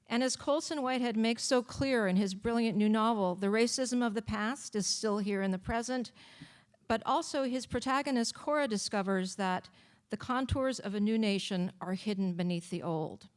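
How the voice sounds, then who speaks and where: Woman host giving a measured introduction. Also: medium pitch. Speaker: event host introducing an author evening. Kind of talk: prepared introduction speech with practical notes.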